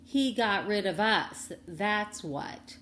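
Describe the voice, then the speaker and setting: A woman's voice: medium pitch, lively intonation, slow, a bit bright, echoey room, slight background noise. A woman voicing a feisty, defiant orphan girl with blunt, emphatic, folksy country talk in a storybook reading.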